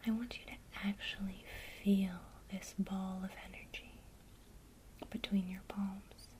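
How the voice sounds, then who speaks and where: A woman teaching a witchcraft lesson in a soft, unvoiced ASMR whisper, guiding the listener gently and speaking straight to them. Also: medium pitch, little pitch movement, slight background noise. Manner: slow, soothing, intimate, addresses the listener directly. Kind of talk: whispered ASMR guided visualization.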